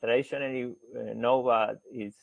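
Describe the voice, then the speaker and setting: A man's voice: medium pitch, steady tone, moderate pace, vocal fry, no echo, narrow, tinny audio. A man with an Argentine Spanish accent, a native Spanish speaker, giving a researcher's seminar talk with many hesitant fillers and restarts. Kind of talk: academic presentation.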